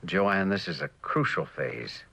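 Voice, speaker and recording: A man's voice: sits low, wildly swooping pitch, measured pace, gravelly, sharp tone, slightly rough, full character voice. An actor playing an earnest, weary husband devoted to his work and evasive about it, in a mid-century television drama. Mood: apologetic, evasive. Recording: noisy old television drama soundtrack.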